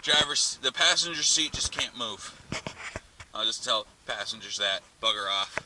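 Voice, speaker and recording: A man's voice: fairly low pitch, animated, normal speed, pushed and bright. A man hosting a do-it-yourself vlog, explaining a hands-on job in an informal, offhand way with some crude slang. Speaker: vlogger, DIY car channel host. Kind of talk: casual hands-on how-to narration. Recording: noisy amateur camera audio with clicks.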